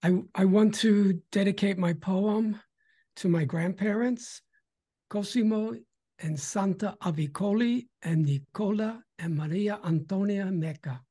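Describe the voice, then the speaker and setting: An older man in his sixties reads poetry with emphasis at a slow pace. His voice is soft-edged and husky, heard over a video call from home. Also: high-pitched. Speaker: poet. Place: small home bedroom. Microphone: computer mic on a video call.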